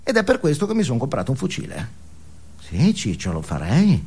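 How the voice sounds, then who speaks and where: A man's voice: mid-range voice, huge pitch swings, normal speed, a little mellow, husky, heavily acted. A male stage actor playing a ranting, self-justifying, foul-mouthed family man in a satirical comic monologue, agitated and forever tagging his sentences with questions. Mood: defensive, menacing. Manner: agitated, swearing, punctuates with "no?" and "eh?".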